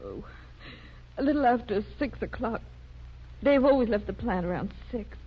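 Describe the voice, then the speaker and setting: A woman playing an anxious, distraught wife with a halting, despairing delivery in a 1930s radio drama. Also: fairly high pitch, animated, husky. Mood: weary, bitter. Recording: noisy, muffled 1930s radio recording.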